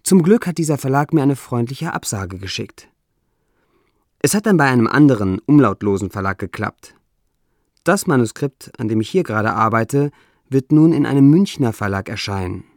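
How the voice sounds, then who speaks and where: Man, non-native German accent, mid-pitched voice, deliberate essay reading, dry self-irony. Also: animated, no room sound. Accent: non-native German, trouble with umlauts. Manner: measured, deliberate delivery with dry humor. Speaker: writer reading his own text.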